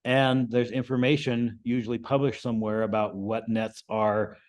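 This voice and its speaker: A man giving an informal, explanatory lesson to a group as the instructor, often checking in with a quick "right?". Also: medium pitch, flat delivery. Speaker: amateur radio class instructor.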